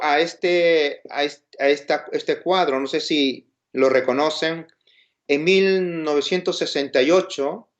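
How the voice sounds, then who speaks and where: The Peruvian man you would hear teaching an informal class, explaining step by step in a slightly high voice, caught on a muffled, basic recorder. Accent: Peruvian Spanish. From Peru. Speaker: lecturer. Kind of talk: informal lecture with rhetorical questions. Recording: dull, lo-fi sound.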